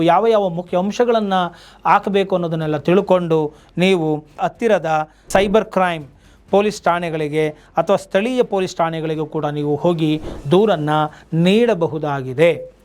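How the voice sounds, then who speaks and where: A man's voice: high voice, normal speed, a little mellow. A man with an Indian accent, a lawyer hosting an explainer, speaking didactically and directly to viewers as he gives legal advice. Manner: didactic, addresses viewers directly with rhetorical questions. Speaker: lawyer and video host.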